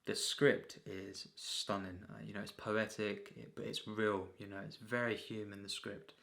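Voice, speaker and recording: Gravelly, flat-toned man reviewing a film. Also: lowish voice. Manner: halting, repetitive, frequent throat clearing and filler phrases. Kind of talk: unscripted, rambling film review.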